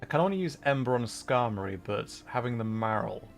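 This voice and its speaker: A man chatting casually on a livestream while playing a game, rambling and halting as he thinks aloud. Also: mid-range voice, animated, vocal fry, soft background music. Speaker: livestreamer, VTuber and content creator.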